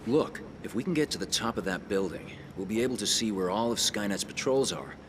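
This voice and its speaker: Determined resistance commander played by a man, low and matter-of-fact, game cutscene, heavy vehicle noise. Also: lively intonation, a little fast, close to an everyday voice. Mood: firm, explaining. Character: calm, determined male resistance soldier leader. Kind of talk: video game cutscene acting.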